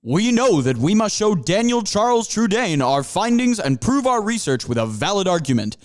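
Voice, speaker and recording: A man's voice: high-pitched, sing-song, moderate pace. A man voicing a pompous panther professor who lectures his students in grandiose, stretched-out phrases. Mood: lecturing. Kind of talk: amateur audio drama.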